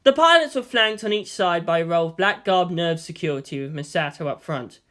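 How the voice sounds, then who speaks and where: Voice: mid-range voice, lively intonation, fairly quick, a bit bright. A narrator giving a dramatic scripted reading of a story.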